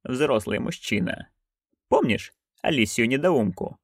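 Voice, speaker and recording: Brief: read as a stern old woman witch, low, quiet and unhurried. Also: husky, no room sound, studio quality. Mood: cold, calm. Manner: low, quiet, slow and flat. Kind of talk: audiobook character voice.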